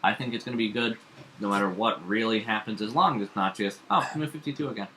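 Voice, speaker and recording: A man's voice: lowish voice, quick pace, pushed and bright, a little hiss or hum. A young man co-hosting a casual chat about comics and TV, opinionated and hesitant, with frequent fillers. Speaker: podcast co-host.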